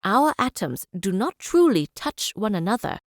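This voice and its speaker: An actress playing a measured, idealistic chancellor and scholar, speaking formally and deliberately in scripted game speeches. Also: female voice, mid-range voice, very animated, no room sound, clean studio sound. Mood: reflective.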